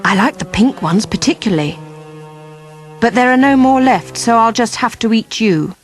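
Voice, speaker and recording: A woman voicing a huge, greedy storybook beast that talks in a politely menacing, deliberate way. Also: on the high side, expressive, soft background music, natural voice. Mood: musing, menacing. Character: huge thousand-toothed beast, greedy, fussy about cakes.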